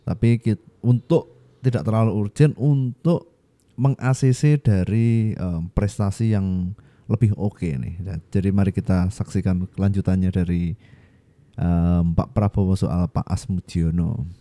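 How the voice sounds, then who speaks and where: A man's voice: low voice, fairly even intonation, unhurried, mellow, no echo. A man in his thirties giving casual commentary as a reaction video host, hesitating as he searches for words. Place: small home studio indoors. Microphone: close boom-arm microphone, headphones.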